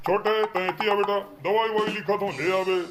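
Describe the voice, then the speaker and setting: A man's voice: high-pitched, fairly even intonation, moderate pace, close to an everyday voice. A man voicing a casual, bumbling village quack doctor in a comedy cartoon, chatty and repeating his reassurances with a Chhattisgarhi accent. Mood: breezy. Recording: noisy track with clicks.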